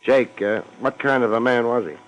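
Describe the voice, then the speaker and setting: Guy playing deadpan wisecracking private eye, old 50s radio show sound. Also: medium pitch, normal speed, a little hiss or hum, close to an everyday voice, rough recording. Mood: probing. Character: wry, hard-boiled male private detective. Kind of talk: 1950s radio detective drama acting. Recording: vintage 1950s radio recording.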